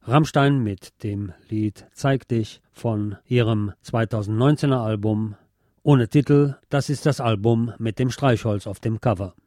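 A man's voice: on the low side, a little slow, dark tone, no room sound, clean studio sound. A man hosting a radio show, announcing songs and segments.

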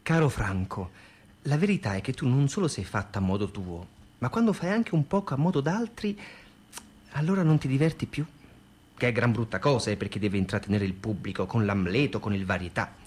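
A man with a husky, medium-pitched voice reads a letter for radio theatre. His delivery is expressive and theatrical, rising and falling widely. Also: fairly quick. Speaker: radio reader. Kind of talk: dramatic reading of a letter.

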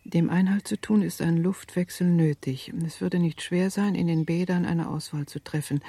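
Old family doctor: mellow, husky, deferential, earnest letter reading in radio drama, dry sound. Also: male voice, high-pitched, no echo, full character voice. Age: older. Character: elderly male family doctor, courteous, earnestly concerned. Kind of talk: radio play, formal letter read aloud.

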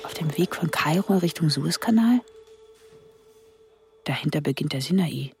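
A woman's voice: sits low, lively intonation, moderate pace, darkish tone. An actress playing an observant, pensive young German woman in a radio drama, moving between dialogue and dramatic narration.

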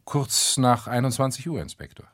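An actor playing a formal, guarded lawyer giving precise, clipped answers in a radio crime play. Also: male voice, medium pitch, very animated, normal speed, a bit bright, no room sound. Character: guarded, formal male lawyer, junior partner of a firm.

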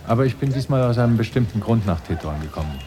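Low-voiced, insinuating male stranger played by an actor. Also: animated, dark tone, faint music behind, natural voice. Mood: polite, insinuating. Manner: formal, deliberate. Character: polite, insinuating stranger seeking out a man. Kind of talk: German radio drama dialogue.

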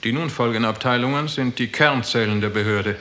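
An actor playing an overbearing, patronising official who coaxes and threatens in a 1960s German radio drama. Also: male voice, medium pitch, brisk, sharp tone, lightly acted. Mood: smug, coaxing. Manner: patronising, speaks in proverbs and slogans. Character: overbearing male bureaucrat, smugly coaxing and menacing.

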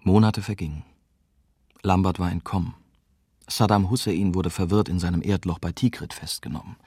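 Low-voiced, bitter young German journalist. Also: male voice, fairly even intonation, moderate pace, darkish tone, husky. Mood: reflective, bitter. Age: young adult. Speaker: narrator and lead actor. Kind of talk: radio drama first-person narration.

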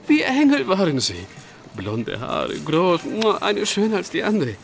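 Radio play shopkeeper: excitable, cheeky Spanish-accented chatterbox, hugely animated delivery over music. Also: male voice, high-pitched, unhurried, gravelly, heavily acted. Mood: excited, gushing. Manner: exuberant, German peppered with Spanish interjections.

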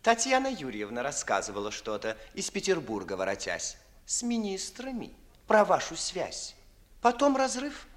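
An actor playing an obsequious, cautious young clerk in a 1950s classical radio play, mincing and deferential. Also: male voice, on the high side, wildly swooping pitch, normal speed, a bit bright. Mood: polite condescension. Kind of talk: 1950s classical radio theatre acting in verse.

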